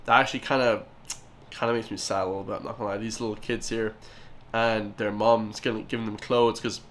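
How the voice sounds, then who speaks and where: A man's voice: on the low side, little pitch movement, a little fast, fairly bright tone. A man with an Irish accent hosting a casual reaction video, talking emphatically and often calling his viewers "guys". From Ireland.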